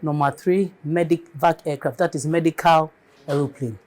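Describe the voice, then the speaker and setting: A woman with a Ghanaian accent answering interview questions as an air traffic controller, explaining things step by step in a deliberate, emphatic way. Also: deep voice, steady tone, darkish tone.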